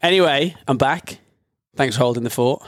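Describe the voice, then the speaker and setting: A man's voice: mid-range voice, moderate pace, no echo, studio quality. A man hosting a podcast in an informal, bantering, chatty way. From North Wales, UK. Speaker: podcast host. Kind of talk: casual podcast chat.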